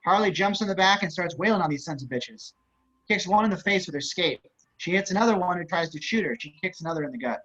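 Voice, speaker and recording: Male stage-directions reader, slightly high voice, scripted narration, lo-fi online call sound. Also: lively intonation, quick pace, fairly bright tone. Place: indoors, on a video call. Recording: dull video-call audio.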